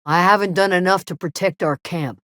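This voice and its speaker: A woman playing a gruff, guarded frontier settler who watches over a camp, terse and plain-spoken, in a video game. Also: on the low side, moderate pace, a bit bright, no echo, studio quality, close to an everyday voice. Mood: troubled. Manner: terse, wary, plain-spoken with folksy drawn phrasing. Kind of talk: video game character dialogue.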